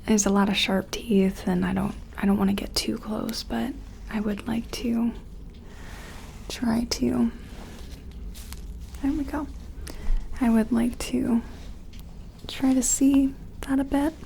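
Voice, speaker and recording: A woman playing a timid, awed scientist in a sci-fi ASMR roleplay, whispering and murmuring gently with hesitant, musing phrasing. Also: a little high, fairly even intonation, slow pace, long pauses, a little hiss or hum, full character voice. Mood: cautious, nervous. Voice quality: breathy whisper mixed with soft, creaky murmur.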